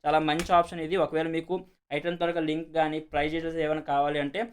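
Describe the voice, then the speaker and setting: Man, Telugu accent, extremely rapid pace, slightly high pitch, casual product reviewer, repetitive, English words mixed in. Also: flat delivery, clear, resonant tone. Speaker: host of a product unboxing and review video. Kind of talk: casual explanatory product demonstration.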